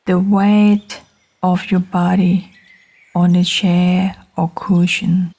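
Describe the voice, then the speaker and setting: Very slow, mellow woman guiding meditation. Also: on the low side, flat delivery. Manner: gentle, soothing, unhurried with long pauses between short phrases. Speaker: meditation guide. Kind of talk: guided meditation narration.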